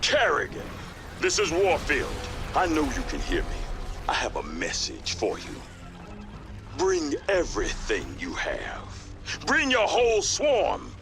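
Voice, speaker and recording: Barking, high-pitched old military commander. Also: male voice, huge pitch swings, a little slow, over music, full character voice. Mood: defiant. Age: older. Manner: barking, forceful. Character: defiant older male military commander. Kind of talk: scripted sci-fi game voice acting.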